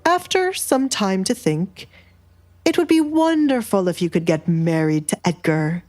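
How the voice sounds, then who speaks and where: A woman plays a middle-aged baron in an audio drama, a frightened father. The words come slow, strained and halting, with music behind. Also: medium pitch, animated, darkish tone, natural voice. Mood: cowed. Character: Desmond, middle-aged male baron, cowed, anxious father.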